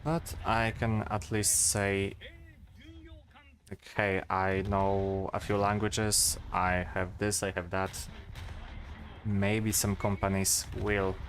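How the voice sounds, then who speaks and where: A man in his twenties with a Polish accent, chatting casually to stream viewers and thinking aloud, with pauses and fillers. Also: deep voice, fairly bright tone, slight background noise.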